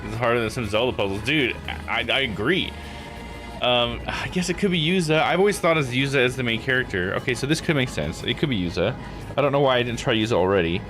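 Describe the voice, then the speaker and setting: A man's voice: medium pitch, expressive, quick pace. A man giving casual live commentary as he plays a game, thinking aloud in disbelief. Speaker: game streamer. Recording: music behind the voice. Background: game music.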